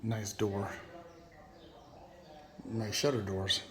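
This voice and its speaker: A man's voice: on the low side, little pitch movement, extremely slow. A man giving a casual walking tour of a museum, thinking aloud with fillers and restarts, his voice cracking now and then. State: voice keeps cracking. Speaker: travel vlogger. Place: indoor and courtyard museum rooms.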